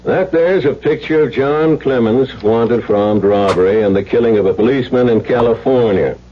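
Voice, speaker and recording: An actor playing a folksy, steady small-town sheriff, unhurried and plainspoken, in an old-time radio drama. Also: male voice, medium pitch, slight background noise, natural voice. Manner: unhurried, plainspoken, friendly but serious. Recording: dull old radio recording.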